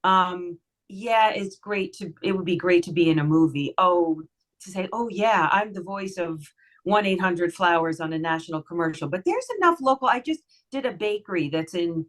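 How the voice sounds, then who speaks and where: A woman voice actress with a somewhat low voice, talking in a friendly, chatty way with an audible smile in her voice. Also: expressive, normal speed. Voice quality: lower voice for a woman. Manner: chatty, friendly, giggly, smiling tone. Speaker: voice actress guest. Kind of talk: casual podcast interview.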